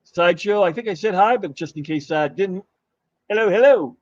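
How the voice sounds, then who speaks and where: A man hosting a live stream, chatting casually and greeting viewers in a rambling, halting way while his voice is going hoarse. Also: sits high, animated, no echo, lo-fi sound. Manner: rambling, halting, with many fillers and restarts. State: losing his voice. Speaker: livestream host.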